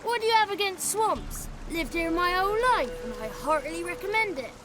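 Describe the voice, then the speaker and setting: A woman's voice: very high voice, expressive, fairly quick, noisy background. A woman voicing a cheeky, childlike male swamp creature in a video game, talkative and theatrical, with boastful, florid wordplay. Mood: chatty. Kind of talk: video game character acting.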